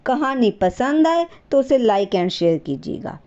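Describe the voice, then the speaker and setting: A woman narrating a scripted story as a host, expressive and speaking directly to her listeners. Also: on the high side, slow pace, highs cut off.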